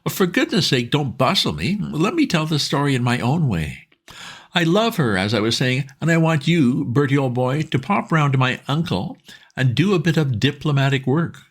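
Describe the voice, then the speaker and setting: A man voicing an excitable, lovestruck young gentleman who pleads and gushes in a raised voice. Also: mid-range voice, moderate pace, pitched above the performer's own voice. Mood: impatient. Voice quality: eager, pleading, gushing.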